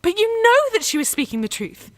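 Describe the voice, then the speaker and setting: A woman's voice: extremely high pitch, sing-song, a little fast, a bit bright, heavily acted. A woman playing a devout, headstrong young peasant warrior in a radio play, impassioned and plain-spoken. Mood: distressed, pleading.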